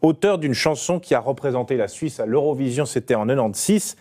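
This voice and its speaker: A man in his thirties presents the evening news in a TV studio. His voice is warm and mellow at an average pitch, and he runs the interview with brisk, scripted questions. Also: expressive.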